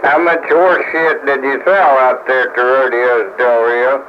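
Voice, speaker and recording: A man's voice: medium pitch, steady tone, unhurried. A man in casual banter over CB radio, blunt and needling, challenging the person he talks to. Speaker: CB radio conversation partner. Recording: noisy muffled CB radio tape.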